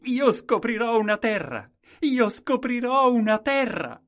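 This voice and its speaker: A man's voice: mid-range voice, very animated, unhurried, pitched above the performer's own voice. A man voicing a humble, simple-minded young man at a royal court, speaking meekly and crying out eagerly. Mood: excited shouting. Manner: soft, humble, wide-eyed replies, cries out eagerly.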